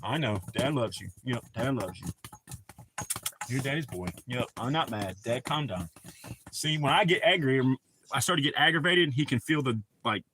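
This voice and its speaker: Bright-voiced man ranting with heavy profanity. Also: on the high side, slightly rough, dry recording. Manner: profane, rambling, heated storytelling. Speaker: livestream host. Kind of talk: casual ranting chat.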